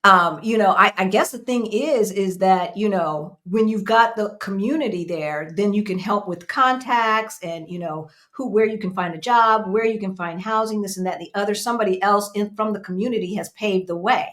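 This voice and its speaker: A woman's voice: mid-range voice, moderate pace, few pauses, a bit bright. A woman co-hosting an interview, talking in a chatty, upbeat way with playful asides. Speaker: co-host. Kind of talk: casual interview chat.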